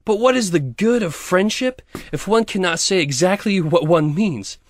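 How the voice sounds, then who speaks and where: Man playing a high-voiced pompous miller. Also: expressive, moderate pace, no echo, clean studio sound. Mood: scolding, preachy. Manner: pompous, preachy, affronted. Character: pompous, self-righteous, grasping male miller. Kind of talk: audio theatre storybook acting.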